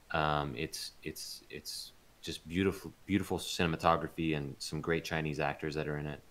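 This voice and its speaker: Very deep-voiced man chatting. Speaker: interview guest, voice actor and audio drama producer. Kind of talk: casual interview chat.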